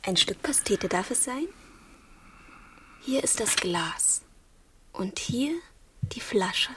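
An actress in a radio drama playing an adoring, naive young girl with a breathy voice and a coy, teasing manner. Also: medium pitch, animated, takes it slowly. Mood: adoring, hushed. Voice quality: breathy, often near whisper.

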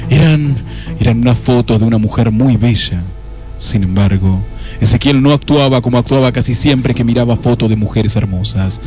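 A man's voice: mid-range voice, moderate pace, mellow, soft background music, close to an everyday voice. A man playing a solemn, lurid narrator in a noir parody radio play, melodramatic and lingering over his phrases. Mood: wry, storytelling. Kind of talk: scripted dramatic narration.

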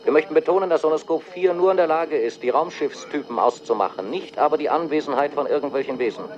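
A man's voice: on the high side, quick pace, darkish tone. Dull radio voice, clipped airman. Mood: urgent, official. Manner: clipped, official, telegraphic. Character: urgent male air force officer radioing headquarters. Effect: military radio filter. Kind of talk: radio drama military dispatch. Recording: dull, noisy radio transmission.

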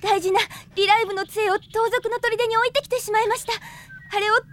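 An actress playing a gentle, earnest young cleric in a radio drama, speaking politely. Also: female voice, very high-pitched, steady tone, a little fast. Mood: anxious. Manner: polite, soft-mannered, earnest. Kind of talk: Japanese radio drama acting.

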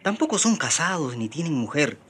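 Earnest merchant, faint background noise. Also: male voice, on the high side, very animated, a little fast, fairly bright tone. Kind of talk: radio drama acting.

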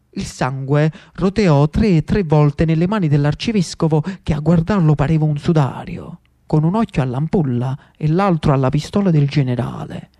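A man's voice: on the high side, mellow. Theatrical Neapolitan man telling a story. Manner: dramatic, ironic, dialect-flecked. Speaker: actor-storyteller. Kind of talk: theatrical monologue narration.

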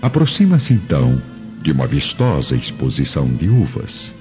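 A man's voice: rumbling low, wildly swooping pitch, normal speed. A man with a Brazilian accent narrating a scripted radio drama in a dramatic style. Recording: dull lo-fi with music behind.

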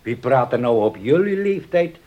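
An actor playing a jovial, gallant older gentleman in a Dutch radio play, talking in an unhurried, fatherly way. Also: medium pitch, sing-song, lightly acted. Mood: amused, kindly. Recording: muffled old radio play, faint noise.

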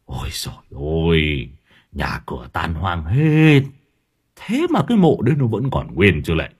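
A man's voice: low-pitched, sing-song, a little slow, slightly rough, voice pushed down, heavily acted. A man voicing a crude, grumbling village husband who curses and stammers with hesitant fillers. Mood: storytelling.

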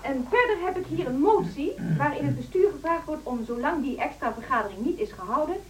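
A woman's voice: high-pitched, expressive, a little fast, distant mic, slight background noise, poor audio. An actress playing an outspoken, argumentative woman teacher pushing for reform in a radio drama, assertive and articulate. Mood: determined. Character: outspoken, insistent reformist female music teacher. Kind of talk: Dutch radio drama acting.

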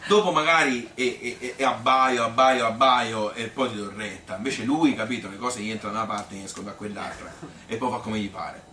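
A man's voice: on the low side, brisk, hardly any pauses, sharp tone. A man playing a plain-spoken Tuscan mother who reminisces in a rambling, hesitant, conversational stage monologue full of self-questioning. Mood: reflective. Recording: roomy, distant stage recording with noise.

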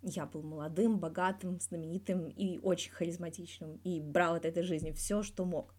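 A young male sports star played by a woman narrator answers in an audiobook interview. He is wry, guarded and blunt, with dry teasing in a mellow voice. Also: on the low side, fairly even intonation, normal speed, natural voice. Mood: self-assured, frank. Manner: guarded yet blunt, dryly teasing, swears freely.

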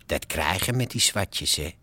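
An actor narrating an audio drama as a cynical, streetwise storyteller, colloquial and deliberate. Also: male voice, low voice, animated, bright, forceful tone, exaggerated voice. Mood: wry, cynical.

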